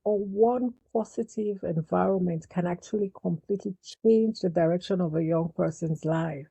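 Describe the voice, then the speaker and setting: A Nigerian woman with a Nigerian accent, a podcast guest calmly explaining her work with young people. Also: lowish voice, normal speed, creaky, husky, dry recording, low-quality recording. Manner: calm, explanatory, eases into points with fillers. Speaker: guest, youth mental health foundation founder. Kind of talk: casual interview conversation.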